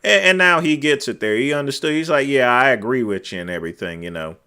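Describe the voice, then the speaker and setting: Loud, bright-voiced man livestreaming. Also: medium pitch, expressive, quick pace, smooth. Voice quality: naturally loud, cutting voice. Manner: rambling, filler-heavy, trails off quietly at phrase ends. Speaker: livestream host. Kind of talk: casual chat reading viewer comments. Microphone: desk microphone.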